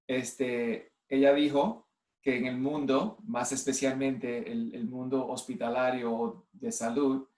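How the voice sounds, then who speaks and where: Man, mixed Puerto Rican Spanish accent, fifties, halting delivery, home video-call talk, roomy sound. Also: mid-range voice, level tone, darkish tone. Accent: mixed Spanish with a Puerto Rican base. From United States. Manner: halting, with many restarts and stray English words. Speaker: nurse and clinical informatics specialist. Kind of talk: online conference presentation. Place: room at home.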